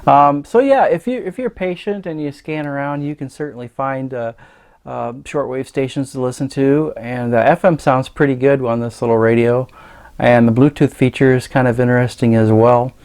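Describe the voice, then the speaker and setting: Casual man with creaky voice demoing gadgets. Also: medium pitch, moderate pace, a little mellow. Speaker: radio gadget reviewer. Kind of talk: casual hands-on product demo.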